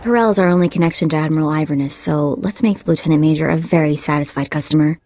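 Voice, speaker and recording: A woman's voice: sits low, fast, dark tone, no room sound, close to an everyday voice. A woman playing a keen young hacker sidekick in a video game, talking in a breezy, informal way. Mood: matter-of-fact. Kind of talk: video game cutscene acting.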